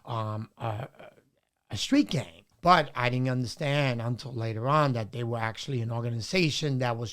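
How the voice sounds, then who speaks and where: A man in his sixties from Puerto Rico, raised in New York, reflecting on his life as an interview guest. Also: mid-range voice. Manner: measured, reflective, with many fillers. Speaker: guest artist. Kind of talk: reflective storytelling interview answers.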